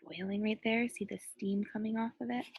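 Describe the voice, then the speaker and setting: A woman reading a picture book aloud in an animated way, with asides to young listeners as a teacher would. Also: mid-range voice, brisk, slightly rough, no echo, highs cut off. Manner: animated, acts out quoted lines and points out pictures. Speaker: elementary school teacher reading aloud to children.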